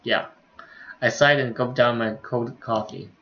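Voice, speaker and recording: A man's voice: medium pitch, a little slow, highs cut off. A man, a game streamer casually reading visual novel text and dialogue aloud.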